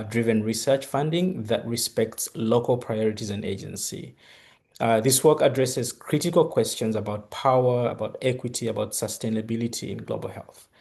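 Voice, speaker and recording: A middle-aged man from Uganda gives a research talk over a video call. His voice sits low, with a gravelly, dark and mellow tone. He speaks haltingly, full of fillers and restarts. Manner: hesitant, many fillers and restarts. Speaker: guest speaker. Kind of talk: academic presentation.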